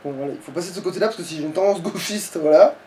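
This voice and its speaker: A man giving excitable, grumbling gaming commentary peppered with swearing. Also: fairly high pitch, racing. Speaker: let's play player. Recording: distant mic, background noise.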